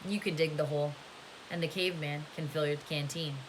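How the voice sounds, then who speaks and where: A woman's voice: low voice, fairly even intonation, fairly quick, background noise, pitched below the performer's own voice, lightly acted. A woman reading a storybook part as a commanding camp warden, polite and controlled but threatening. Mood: quietly threatening. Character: soft-spoken, menacing, commanding woman prison-camp warden.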